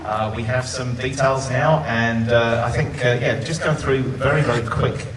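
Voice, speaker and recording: A man's voice: mid-range voice, steady tone, moderate pace. A man co-hosting a live podcast panel in front of a conference audience, polling the room and running through the news. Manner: runs the discussion, polls the audience. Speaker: podcast co-host. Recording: roomy hall, camera far off, noisy.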